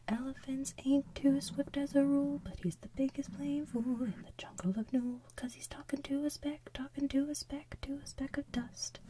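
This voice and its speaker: A gang of jeering monkeys, whispered by a woman, chanting their taunts in a steady rhythm. The whisper stays high and soft. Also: far from the mic, slight background noise.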